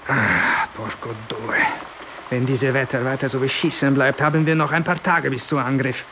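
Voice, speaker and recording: A man playing a gruff, sarcastic army captain in a German radio play, blunt and given to swearing. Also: mid-range voice, fairly even intonation, normal speed, background noise, lightly acted. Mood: irritated.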